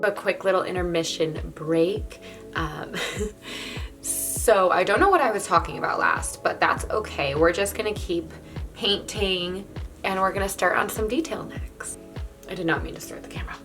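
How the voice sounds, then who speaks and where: A woman with a raspy, hoarse voice, chatting casually as she narrates her body painting. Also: lowish voice, moderate pace, over music. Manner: chatty, rambling, laughs between thoughts. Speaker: body paint artist and vlogger.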